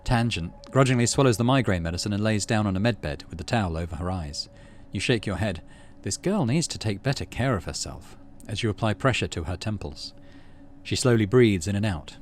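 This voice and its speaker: A man's voice: on the low side, expressive, fairly quick, a little hiss or hum. A middle-aged man playing through a game, chatting casually and reading its narration aloud. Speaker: gaming channel host, player.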